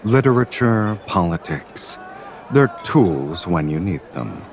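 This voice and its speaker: A man playing a suave, teasing, self-assured love interest in a video game, speaking in a smooth, wry manner. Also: low-pitched, big pitch swings, takes it slowly, some chatter behind, a little hiss or hum, exaggerated voice. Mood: calm, scheming. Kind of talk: video game cutscene voice acting.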